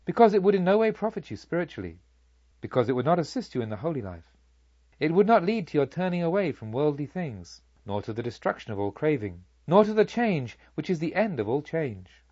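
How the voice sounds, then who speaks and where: A man voicing a serene Buddha, a kindly sage who teaches in a measured, solemn, rhetorical way in an audiobook. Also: a little high, very animated, warm tone, breathy edge, no echo, highs cut off. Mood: solemn teaching. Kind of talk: audiobook character speech.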